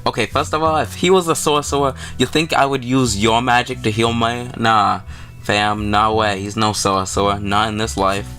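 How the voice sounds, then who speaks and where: A man's voice: lowish voice, fairly even intonation, normal speed, pushed and bright. A man hosting a gaming video, reading visual novel text aloud and reacting in informal, slangy speech. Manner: informal slangy commentary, stumbles while reading. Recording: music playing behind the voice.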